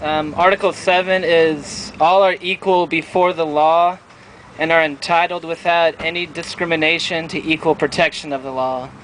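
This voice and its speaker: Flat-toned, higher-voiced man reading aloud outdoors. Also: normal speed, rough recording. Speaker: reader at a public event. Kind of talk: public reading with casual paraphrase. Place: outdoor town plaza. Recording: outdoor event with street traffic noise.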